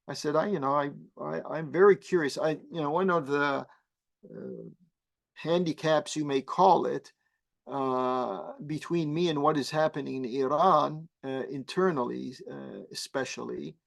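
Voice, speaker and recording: A man whose first language is Arabic, a guest imam explaining religious teaching slowly and thoughtfully, pausing often with fillers. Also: a little high, gravelly. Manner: measured, halting with many fillers.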